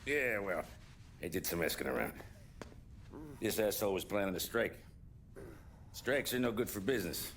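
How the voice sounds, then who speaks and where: An actor playing a volatile, ruthless mob boss in a video game, sardonic and gravelly, halting and then explosive. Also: male voice, sits low, lively intonation, fairly bright tone, a little hiss or hum. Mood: menacingly casual. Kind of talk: cinematic game voice acting. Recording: music behind the voice.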